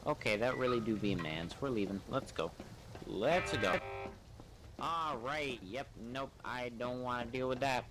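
A man's voice: medium pitch, unhurried. A man giving casual, sardonic gameplay commentary, muttering and swearing as he plays. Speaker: gamer playing a role-play game. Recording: clear background noise, game ambience.

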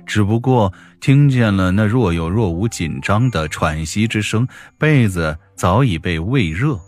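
Male audiobook narrator, low-pitched, dramatic storytelling over faint music. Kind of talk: expressive scripted fiction narration.

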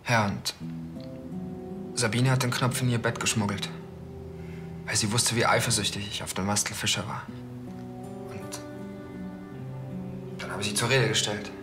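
An actor playing a troubled, jealous husband in a TV crime drama, with a halting, earnest way of talking. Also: male voice, on the low side, fairly even intonation, music in the background, natural voice. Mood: halting, remorseful.

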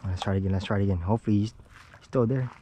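A young man giving casual, excitable running commentary while fishing outdoors at a pond, talking into an action camera and filling his talk with "dude" and "man". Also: fairly low pitch, very fast, a little hiss or hum. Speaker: fishing vlogger.

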